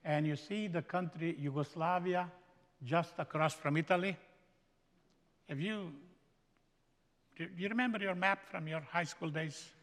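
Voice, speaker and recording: A man with a Slovenian accent, a guest speaker giving a slow, emphatic sermon in a seminary chapel. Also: on the high side, steady tone, a bit bright. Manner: slow, emphatic preaching with stretched-out phrases. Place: large chapel. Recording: echoey hall with long reverb.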